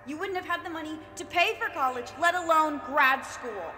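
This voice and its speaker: Bright-voiced, guarded, frustrated sister in a hall. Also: female voice, high-pitched, moderate pace, faint music behind. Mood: hurt, indignant. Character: guarded, frustrated older sister of an ambitious student. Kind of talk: stage-play dialogue acting. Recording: reverberant hall sound.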